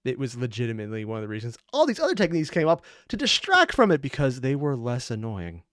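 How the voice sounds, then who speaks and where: A man hosts a reaction video with casual, unscripted commentary in a very dry, close recording. He is analytical, wanders into asides and corrects himself as he goes. His pitch sits in the middle range for a man. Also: wildly swooping pitch, fast talker, fairly bright tone, no room sound.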